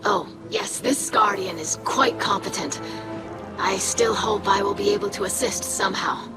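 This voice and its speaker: An actress voicing a polite, eager young alien scribe in a video game, speaking formally and precisely. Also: female voice, mid-range voice, normal speed, loud music behind the voice, lightly acted. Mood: earnest, hopeful. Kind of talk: video game cutscene acting.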